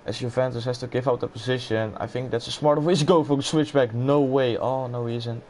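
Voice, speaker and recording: A man's voice: medium pitch, steady tone, brisk, a little mellow, slight background noise. A man with a Dutch accent commentating a live sim racing event, exclaiming and laughing at close moves. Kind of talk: live esports race commentary.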